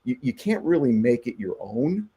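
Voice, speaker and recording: A man's voice: mid-range voice, normal speed, husky. A middle-aged man, an actor and touring musician, talking earnestly in a casual radio interview. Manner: earnest, animated, says "man" and "you know" a lot.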